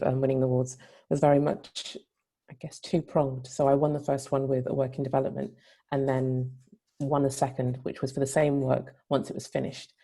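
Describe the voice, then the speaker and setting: A woman's voice: very deep voice, vocal fry, warm tone, slightly rough. A woman speaking as a panel guest, explaining things in a considered, reflective way.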